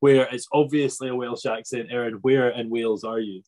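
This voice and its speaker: A man's voice: mid-range voice, fairly even intonation, normal speed, a little mellow. A man hosting a casual interview podcast in a chatty, rambling way, often breaking into his own sentences. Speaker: podcast host.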